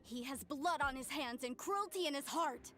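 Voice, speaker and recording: A woman's voice: high voice, normal speed, sharp tone. An actress voicing an earnest young woman in a game, speaking formally and with passion. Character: earnest young woman, salt-trade descendant. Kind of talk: game voice acting. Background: game music.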